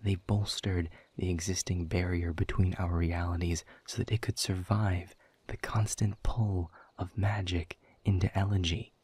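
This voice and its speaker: Audio roleplay: bitter, sardonic demon played by a man, deep husky voice, slow emphatic delivery, world-weary. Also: level tone, darkish tone, dry recording. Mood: grim, explanatory. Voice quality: husky, breathy low voice. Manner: sardonic, emphatic, deliberate phrasing. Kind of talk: scripted audio roleplay monologue.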